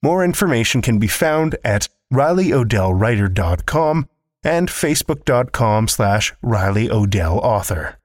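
A man introduces horror fiction as a podcast host, with a showman's macabre flair. His pitch moves around in an animated way through the scripted introduction, and the sound is close and dry. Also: measured pace, no room sound.

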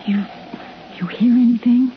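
A woman's voice: a little high, steady tone, measured pace, lightly acted. An actress playing an earnest woman tourist in a 1950s radio drama, with a natural, conversational delivery. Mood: uneasy, insistent. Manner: earnest, hesitant, insistent. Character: camera-keen young woman tourist, earnest and uneasy. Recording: muffled, faintly noisy 1950s radio recording.

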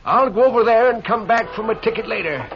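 An actor playing a feisty, generous old gold prospector, folksy and excitable, in a 1950s western radio drama. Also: male voice, very high voice, a little fast, music in the background. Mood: brisk. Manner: folksy, plain-spoken, excitable. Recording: dull, noisy old radio recording.

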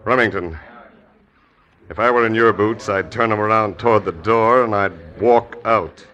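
A cold, smooth-talking claim jumper. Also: male voice, fairly low pitch, normal speed, bright, forceful tone, highs cut off. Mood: menacing. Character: menacing saloon boss, claim jumper, man. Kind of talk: 1950s radio drama acting. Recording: old radio broadcast with crowd noise.

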